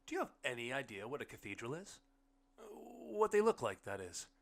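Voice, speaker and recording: A man voicing an uneasy, awkward husband in an audiobook, talking in a halting, casual, explanatory way. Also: medium pitch, sing-song, bright, forceful tone, voice pushed up. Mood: uncertain, probing. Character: uneasy husband, awkward, dry host to a guest. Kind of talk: audiobook character dialogue.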